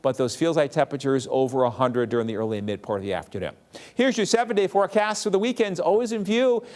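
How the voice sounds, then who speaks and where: A man delivering a TV weather forecast in a brisk, upbeat forecaster style. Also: fairly high pitch, a little mellow. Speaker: TV meteorologist.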